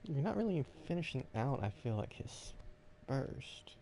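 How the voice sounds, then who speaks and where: A man's voice: mid-range voice, big pitch swings, a little slow, creaky, dark tone, a little hiss or hum. A man streaming a game in casual chatter, muttering as he thinks aloud.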